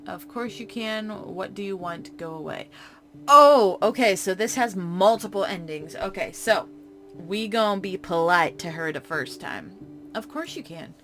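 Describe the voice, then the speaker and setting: A woman playing a horror visual novel, reading its text in a hush and breaking off into casual reactions. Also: medium pitch, lively intonation, a little slow, soft background music. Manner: hushed reading broken by chatty reactions. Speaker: game streamer. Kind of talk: reading a game's text aloud with casual commentary.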